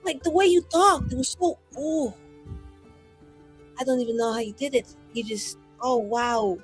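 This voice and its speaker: A woman's voice: high-pitched, normal speed, faint music behind, natural voice. A woman playing a cheeky, defiant adventurer in a tabletop game, talking in a casual, rambling, blunt way. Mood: admiring.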